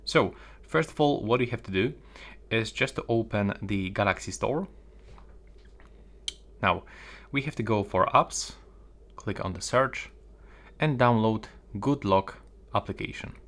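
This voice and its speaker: A man's voice: on the low side, a little slow, pauses often, fairly bright tone. A man presenting a step-by-step phone tutorial.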